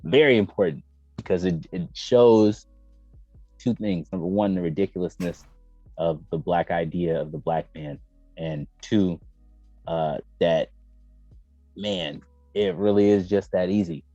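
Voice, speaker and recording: A low-pitched American man speaks as a podcast host, emphatic and blunt, with plenty of slang and swearing. The sound is dull and lo-fi, with faint music playing behind him. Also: fairly even intonation, measured pace, pauses often, dark tone, no room sound. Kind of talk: casual opinionated chat about games and movies.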